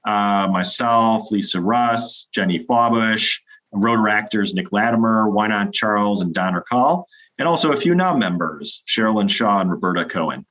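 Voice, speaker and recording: A man's voice: on the low side, normal speed, fairly bright tone. Upbeat man hosting a video call meeting. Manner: upbeat, welcoming, with frequent fillers. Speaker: meeting host. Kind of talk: virtual club meeting announcements. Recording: dull lo-fi video call audio.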